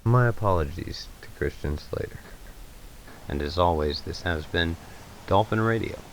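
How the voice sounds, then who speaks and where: A man's voice: deep voice, moderate pace, slight background noise, poor audio. A man narrating a story in a dramatic storybook style.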